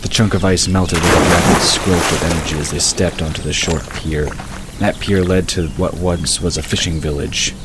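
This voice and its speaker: Very deep-voiced male narrator. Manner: measured, even storytelling. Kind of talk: scripted audiobook narration. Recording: hissy recording with bird ambience.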